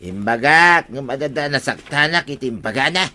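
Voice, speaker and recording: An actor with an Ilocano accent playing a needy, naive villager who complains plaintively in a radio comedy drama. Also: male voice, medium pitch, lively intonation, normal speed, pushed and bright. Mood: aggrieved.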